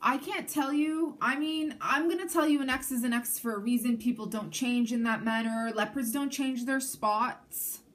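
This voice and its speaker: A woman's voice: a little high, normal speed, hardly any pauses, fairly bright tone. A woman from Canada whose first language is Armenian, giving a chatty tarot reading to camera and often checking in with the viewer. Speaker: tarot reader.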